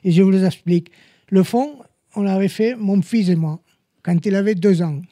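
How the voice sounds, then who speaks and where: Hesitant male painter with a Spanish accent and a warm, dry voice. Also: high voice, no echo. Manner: hesitant, halting speech with restarts. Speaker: guest painter. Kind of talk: casual chat.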